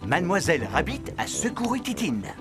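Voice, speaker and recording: A storyteller narrates a children's cartoon in short scripted lines. The pitch is middling but moves in lively swings, and music plays clearly underneath. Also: fairly quick.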